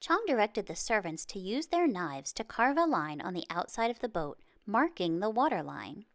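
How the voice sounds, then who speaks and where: A woman narrating a children's storybook in an expressive, careful read-aloud delivery. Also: medium pitch, normal speed, no echo, studio quality. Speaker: children's book reader.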